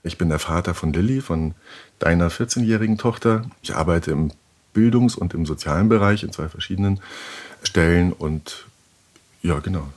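A man's voice: low voice, moderate pace, a little mellow. A man in his fifties, a podcast guest introducing himself hesitantly. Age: 50s.

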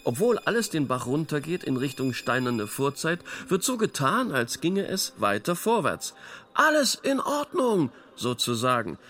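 A man's voice: fairly high pitch, big pitch swings, a little slow, fairly bright tone, faint music behind. An actor in a radio play playing a wry intellectual man, eloquent, ironic and rather pompous. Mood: wry, lecturing. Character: wry male intellectual theorising about modern absurdity. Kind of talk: conversational radio play dialogue.

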